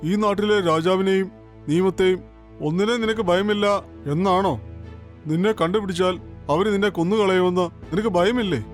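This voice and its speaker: A man voicing a stern king who scolds and gives orders in an animated storybook, over music. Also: high-pitched, moderate pace, natural voice. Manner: commanding, scolding. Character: stern grown king, commanding. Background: background music.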